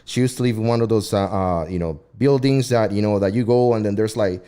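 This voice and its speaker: A man's voice: lowish voice, brisk, warm tone, clean tone. A man co-hosting a casual talk show, rambling and repeating himself as he tells stories. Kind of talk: casual radio chat.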